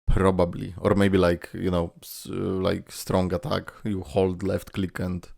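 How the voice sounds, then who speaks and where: A man giving casual reaction commentary, rambling as he thinks out loud. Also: low-pitched, monotone, measured pace, vocal fry, no room sound, clean studio sound. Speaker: reaction video host.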